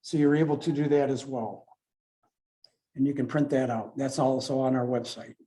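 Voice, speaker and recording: A man giving an informal explanatory presentation as a club speaker, in a halting manner with repeats and self-corrections. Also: medium pitch, steady tone, dark tone. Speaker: club presenter explaining radio contest rules. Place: club meeting room with a few people present.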